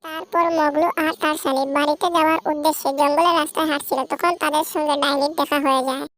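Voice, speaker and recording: A woman's voice: high voice, flat delivery, fast talker, fairly bright tone, slight background noise. A woman narrating a cartoon story. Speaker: narrator voicing all parts.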